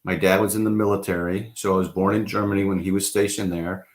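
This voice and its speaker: A middle-aged man from the East Coast of the United States speaks on a video call in a very low, deep voice. He tells his career story unhurriedly, stopping and restarting often and filling pauses with ums and uhs. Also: flat delivery. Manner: unhurried, halting, many fillers and restarts. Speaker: interview guest. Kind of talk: online interview, telling his career story.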